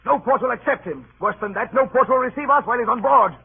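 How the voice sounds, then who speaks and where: A man's voice: extremely high pitch, quick pace, gravelly, narrow, tinny audio. A man playing an earnest, harried sea captain in a 1930s radio drama, theatrical and imploring, on a scratchy old recording. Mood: desperate, indignant. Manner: stammering, imploring, theatrically anguished.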